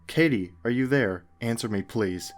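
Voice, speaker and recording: A man's voice: medium pitch, normal speed, natural voice. A man acts out an anxious, protective older brother in a horror tale. He calls out urgently with a raised voice. Faint music sits behind the words. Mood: worried, urgent. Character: anxious protective older brother calling his little sister. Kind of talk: acted dialogue in horror narration.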